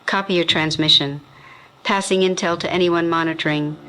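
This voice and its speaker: Weary survivor radio operator, male actor, deliberate and measured, sharp tone, apocalypse drama, slight noisy ambience. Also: fairly high pitch, steady tone. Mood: cautionary. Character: steady, weary survivor relaying intel by radio. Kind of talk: radio audio drama acting.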